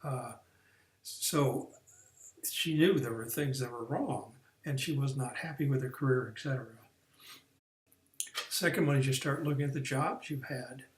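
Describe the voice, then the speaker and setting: A man's voice: medium pitch, a little slow, pauses often, echoey room, low-quality recording. A man explaining a career course in a plain, step-by-step way, with some restarts and fillers. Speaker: career coach. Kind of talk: recorded online training lesson.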